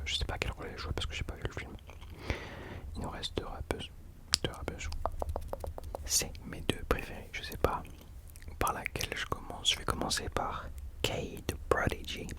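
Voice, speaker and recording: A man hosting ASMR in an unvoiced whisper, chatting informally and hesitantly, correcting himself as he goes. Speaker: ASMR host. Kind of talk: whispered ASMR chat about rappers.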